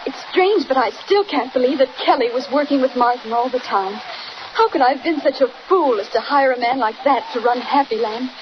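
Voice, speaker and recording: A very noisy, dull vintage radio recording carries a high, plaintive young American woman played by an actress. She is a trusting, fretful victim of a swindle, voiced in 1940s melodrama style. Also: fairly quick, natural voice. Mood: worried, regretful. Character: distraught, trusting young woman, cheated park owner.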